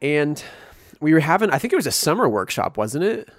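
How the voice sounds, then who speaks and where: A man's voice: on the high side, big pitch swings, brisk, no echo, studio quality. Laid-back young man from Missouri. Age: 20s. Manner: laid-back storytelling with frequent chuckles. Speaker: podcast co-host. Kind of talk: casual chat.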